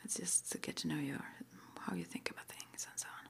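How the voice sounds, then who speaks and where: Whispering woman, creaky, ASMR interviewer. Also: low voice, big pitch swings, fairly quick. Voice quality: breathy whisper, mostly unvoiced. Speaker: ASMR roleplayer playing an interviewer.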